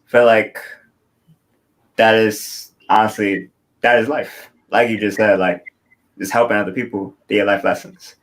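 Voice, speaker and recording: A young man with a fairly low voice hosts a casual interview. He speaks earnestly and wanders through his thoughts, leaning on fillers. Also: little pitch movement, unhurried, poor audio. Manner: earnest, rambling, filler-heavy. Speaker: interview host.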